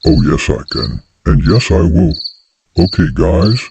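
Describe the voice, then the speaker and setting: A man's voice: low-pitched, unhurried, lo-fi sound. A man voicing a vengeful, gloating villain bent on ruling the world in an amateur audio drama. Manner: menacing, gloating. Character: villainous doctor, menacing, vengeful creature bent on world domination. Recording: noisy home microphone recording.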